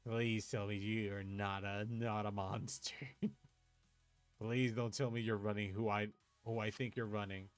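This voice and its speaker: A young man from Puerto Rico with a lowish voice chats casually through a card-game livestream. He thinks aloud, mutters and swears at his own plays. Faint music plays under his voice. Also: little pitch movement, a little slow. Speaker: streamer playing an online card game. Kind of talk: casual livestream chat and play commentary.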